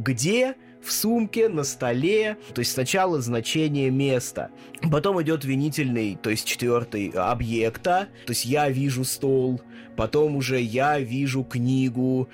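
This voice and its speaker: Male guest expert, language teacher: unhurried, thoughtful explanation, frequent "э" pauses, faint music in the background. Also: medium pitch. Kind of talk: relaxed expert explanation in an interview.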